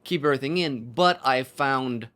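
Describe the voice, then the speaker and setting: A man co-hosting a podcast, casually discussing films and storytelling as he thinks out loud. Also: medium pitch, normal speed. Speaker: podcast co-host.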